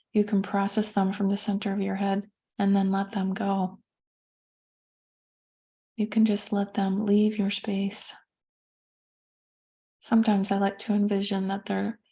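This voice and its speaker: A woman leading a guided meditation as a teacher, speaking gently and soothingly with pauses between phrases. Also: medium pitch, gravelly.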